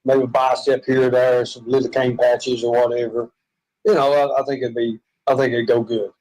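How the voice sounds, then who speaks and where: A man's voice: mid-range voice, little pitch movement, moderate pace, a little mellow, low-quality recording. A man with a Southern US accent, chatting and joking in a rambling, self-mocking way from a hospital room.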